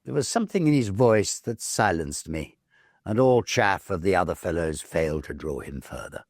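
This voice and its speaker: A man narrates a literary ghost story in a fairly low voice with plenty of rise and fall. He takes his time over phrases and leaves pauses for effect. The recording is dry and close. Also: dry recording. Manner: measured, expressive storytelling with pauses.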